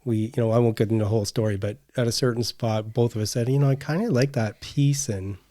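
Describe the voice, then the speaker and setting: A man's voice: medium pitch, fairly even intonation, quick pace, dark tone. A middle-aged man chatting casually as a podcast co-host. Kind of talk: casual conversational chat.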